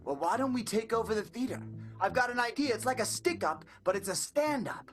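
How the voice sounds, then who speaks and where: A high-voiced, furtive schemer of a vaudeville comic acted by a woman, pitching corny gags with urgent, conspiratorial energy. The voice leaps up and down in an improvised radio play, with clear music behind it. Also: lively intonation, a little fast, lightly acted. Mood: gleeful, scheming.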